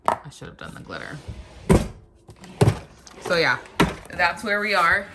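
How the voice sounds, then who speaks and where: A woman's voice: deep voice, pushed and bright, a little hiss or hum. A woman giving a rambling, chatty vlog tour of her nail supplies in a home guest room, with lots of filler words, while losing her voice. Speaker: nail art vlogger. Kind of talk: casual show-and-tell vlog.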